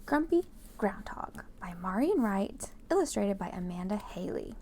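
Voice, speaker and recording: An American woman hosting a children's read-aloud, explaining and reading in an animated way, speaking directly to young listeners. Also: medium pitch, moderate pace, a little hiss or hum.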